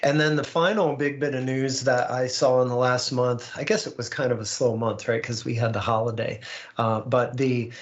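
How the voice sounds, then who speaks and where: A man's voice: medium pitch, steady tone, normal speed. A man hosting an online tech community call, presenting news in an informal, conversational, upbeat way. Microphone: online call microphone. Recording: slightly dull, lo-fi online call audio.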